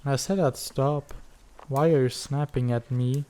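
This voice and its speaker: A laid-back teenage schoolboy acted by a man in a comic drama. His voice is soft-edged and dark, and his delivery stays fairly level. He sounds dry and sarcastic, a skeptic who still cares. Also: mid-range voice, fairly even intonation, a little slow, natural voice. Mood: concerned, challenging. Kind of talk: fan dub comic drama acting.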